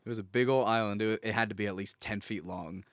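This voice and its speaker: A man's voice: fairly low pitch, steady tone, fast, dry recording, studio quality. A man co-hosting a podcast, telling anecdotes in a wry, informal, joking manner. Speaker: podcast co-host. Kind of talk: casual chat, telling anecdotes.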